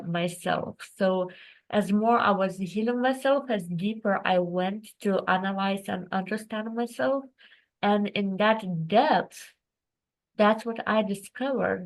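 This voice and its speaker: A woman's voice: medium pitch, low-quality recording. A woman from Lithuania, a guest telling her personal story reflectively over a video call. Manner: earnest, reflective, with non-native phrasing. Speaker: guest, writer. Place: home in Croatia, on a video call.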